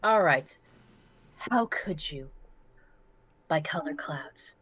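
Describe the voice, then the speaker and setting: A woman's voice: low voice, lively intonation, slow pace, fairly bright tone, a little hiss or hum. A woman co-hosting a livestream with casual, playful banter. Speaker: livestream co-host and reader.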